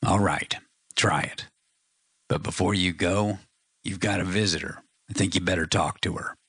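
A man's voice: low-pitched, normal speed, a bit bright, slightly rough, no room sound, clean studio sound, exaggerated voice. A man reading the part of a fierce rebel leader, speaking in a snarling, intense way. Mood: grim. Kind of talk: audiobook character reading.

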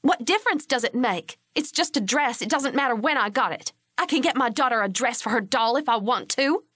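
A woman voicing a sharp-tempered young wife and mother in a storybook, with a hard, defensive edge. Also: a little high, animated, moderate pace, no room sound, studio quality, pitched above the performer's own voice, heavily acted. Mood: irritated.

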